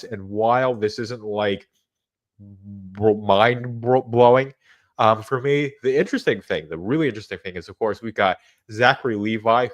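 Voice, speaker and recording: Congested, low-voiced man hosting a livestream. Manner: rambling, frequent restarts and fillers. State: congested from a cold. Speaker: livestream host. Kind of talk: casual livestream chat.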